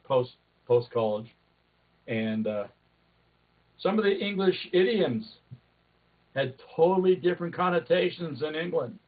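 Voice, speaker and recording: A man's voice: on the high side, lively intonation, measured pace, long pauses. A man hosting a club meeting over a video call, who jokes and rambles as he talks. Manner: joking, rambling. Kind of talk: casual video call chat. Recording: tinny call audio.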